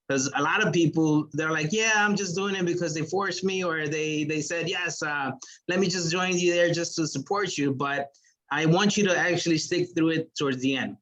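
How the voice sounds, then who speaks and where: A man's voice: mid-range voice, fairly bright tone, rough recording. A man as a sales manager giving an earnest motivational business presentation on a video call, with many fillers.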